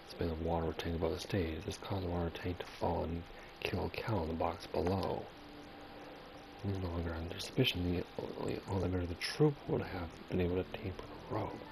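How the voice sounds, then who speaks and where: A man's voice: low-pitched, fairly even intonation, a little fast. A man playing through a game, reading dialogue aloud with casual asides and some stumbles. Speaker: gamer making a playthrough. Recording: clearly noisy background.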